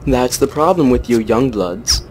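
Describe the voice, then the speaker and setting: A man's voice: medium pitch, expressive, a little slow, a little hiss or hum, natural voice, rough recording. A man playing a cocky, teasing old vampire with a sardonic, breezy manner. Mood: scornful teasing.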